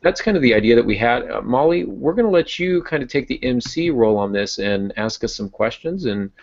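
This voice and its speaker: A man's voice: medium pitch, expressive, a little fast. A man talking casually on a podcast as a host and novelist explaining his work. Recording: dull lo-fi voice recording.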